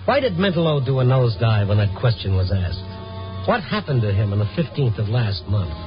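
An American actor playing a wry, world-weary newspaper reporter, narrating in a conversational hardboiled 1950s radio drama style. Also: male voice, mid-range voice, big pitch swings, normal speed, natural voice. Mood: wry, musing. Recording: dull vintage radio recording, music behind.

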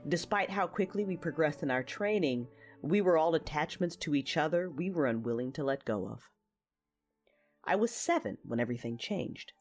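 A woman's voice: sits low, animated, moderate pace, darkish tone, faint music behind. A woman playing a reflective, war-weary young female knight, narrating her memories earnestly in an audio drama. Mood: fond, nostalgic. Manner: earnest, reminiscing.

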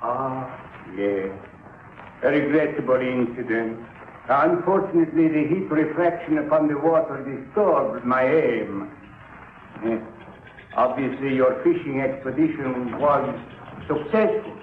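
Courtly foreign crook played by a male actor, fussily regretful, halting and flowery, on a roomy, narrow-band fifties radio recording. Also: mid-range voice, lightly acted. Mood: smoothly apologetic. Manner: ornately polite, hesitant, repeating himself. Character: courtly, regretful foreign smuggler and gambler doting on his birds. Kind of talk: 1950s radio drama acting. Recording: roomy, phone-like, faintly noisy 1950s radio recording.

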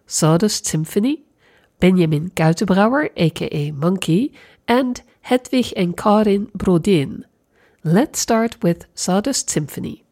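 A woman's voice: fairly low pitch, lively intonation, unhurried, darkish tone. A woman hosting an arts report, narrating from a script and putting questions to guests. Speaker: host, interviewer.

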